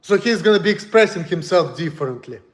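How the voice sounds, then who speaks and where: A man's voice: sits high, lively intonation, quick pace. A man from Russia with a Russian accent, talking bluntly and heatedly on a casual livestream in broken English. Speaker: streamer.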